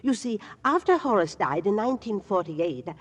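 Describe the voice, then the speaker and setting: A woman's voice: medium pitch, sing-song, normal speed. A woman playing a proud, refined elderly widow in 1940s radio drama, hesitant and dithering as she speaks. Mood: fluttery, apologetic. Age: older.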